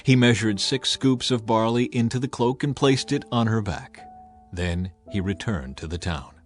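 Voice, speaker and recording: A man's voice: on the low side, normal speed. A man narrating a dramatized Bible story from a script, as an audiobook narrator. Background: background music.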